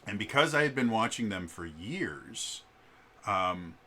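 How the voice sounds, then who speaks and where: A man talking in a casual ranting vlog, often stammering on the first sound of words and filling pauses with ums and uhs. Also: lowish voice, lively intonation, a little hiss or hum. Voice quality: loud voice. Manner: repeats word starts, stammering, many fillers. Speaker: vlogger.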